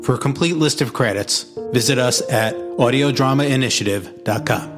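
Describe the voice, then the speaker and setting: A man reading a drama's closing credits in an even, measured announcing voice. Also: mid-range voice. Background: guitar and piano music.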